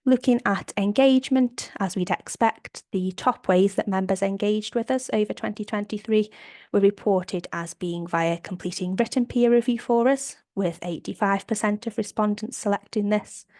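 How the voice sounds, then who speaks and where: A woman with a slight cold, sounding a bit croaky, presenting survey findings on a video call in an upbeat, methodical way. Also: medium pitch, fairly even intonation, normal speed, a little mellow, dry recording, studio quality. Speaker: operations manager presenting survey data. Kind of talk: webinar slide presentation.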